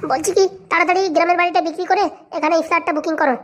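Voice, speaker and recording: A performer voicing a scheming, sharp-tongued mother in a comedy cartoon, pushy and scornful. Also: extremely high pitch, steady tone, very fast.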